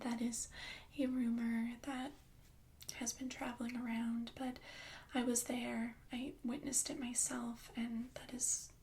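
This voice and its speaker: A woman playing a gentle, bookish young fairy-tale heroine in a whispered ASMR roleplay, speaking in a soft unvoiced whisper with a chatty, reassuring manner. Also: a little high, a little hiss or hum. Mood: sombre.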